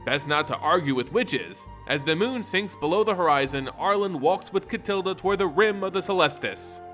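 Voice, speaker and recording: Animated, bright-voiced male storyteller. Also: high voice, normal speed, faint music behind. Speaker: narrator of a fantasy lore story. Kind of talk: expressive scripted storytelling narration.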